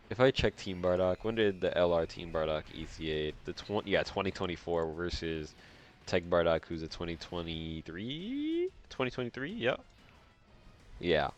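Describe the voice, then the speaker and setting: Male game streamer, deep voice, audibly congested, casual and slangy, mutters phrases over again while playing. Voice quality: congested, stuffy nose. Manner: slangy, repeats phrases to himself. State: nasally congested, breathing through mouth. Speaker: gaming video host. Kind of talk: casual live gameplay commentary.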